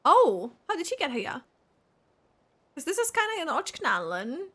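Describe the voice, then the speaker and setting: A woman's voice: high-pitched, wildly swooping pitch, measured pace, sharp tone. A woman streamer giving casual, exclamatory reaction commentary over a video game playthrough.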